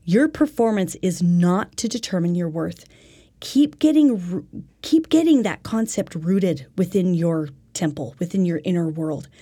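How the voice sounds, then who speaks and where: A woman's voice: lowish voice, expressive, measured pace, mellow, husky. A woman presenting a religious self-help lesson in an earnest, instructive way.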